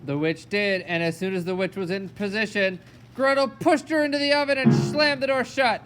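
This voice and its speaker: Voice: medium pitch, normal speed, music in the background. A narrator telling a fairy tale in a storytelling style for a children's puppet show.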